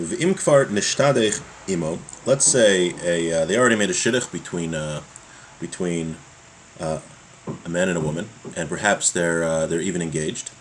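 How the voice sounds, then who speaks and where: A man giving a religious law lesson, reading from a text and explaining it in a halting way, repeating his points. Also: sits low, a little hiss or hum, poor audio. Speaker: religious teacher.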